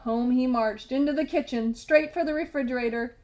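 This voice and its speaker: A woman reading a children's storybook aloud as scripted narration, with deliberate, dramatic emphasis. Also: sits high, a little mellow, rough recording.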